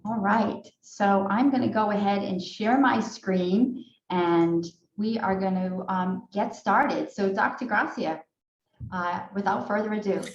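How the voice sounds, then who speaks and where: Echoey woman hosting an online training. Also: medium pitch. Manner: polite, measured, frequent fillers. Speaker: training director and moderator. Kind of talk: online training welcome and housekeeping. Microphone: video call. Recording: echoey room, dull lo-fi sound.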